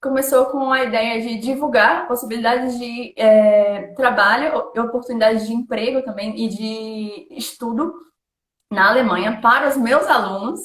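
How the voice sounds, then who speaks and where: A chatty woman hosts a live-stream interview and laughs easily. Her voice sits a little high. The room around her rings with echo. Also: moderate pace, fairly bright tone. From Salvador, Bahia, Brazil. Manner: chatty, friendly, laughs often. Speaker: host, German teacher. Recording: echoey room.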